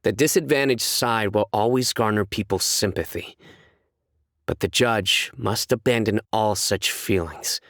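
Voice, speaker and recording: A man voicing a stern, principled young judge in a video game, reserved, with measured, formal delivery. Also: lowish voice, fairly bright tone, no room sound, clean studio sound. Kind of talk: scripted video game character voice lines.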